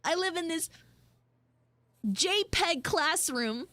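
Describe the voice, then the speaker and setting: A woman's voice: very high voice, lively intonation, takes it slowly, bright, forceful tone, no echo. A woman chatting in character on a livestream as a flustered tomboy tsundere schoolgirl, with dramatic, whiny exasperation. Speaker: VTuber livestreamer doing audio roleplay. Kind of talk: improvised in-character livestream chat.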